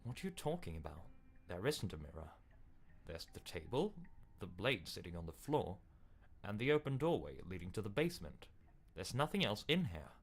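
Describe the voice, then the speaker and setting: Man playing a stern, deep-voiced game narrator. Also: sing-song, breathy edge, exaggerated voice. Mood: exasperated. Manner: measured, authoritative, exasperated when challenged. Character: stern, controlling male storybook narrator, impatient and insistent. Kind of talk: scripted second-person game narration.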